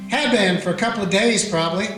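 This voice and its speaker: Frontier sheriff, played by a man, calm folksy narrator, high-ish voice, roomy echo. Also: fast talker, faint music behind. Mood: steady. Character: small-town Old West sheriff, steady and dry. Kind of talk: radio play acting. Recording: echoey room.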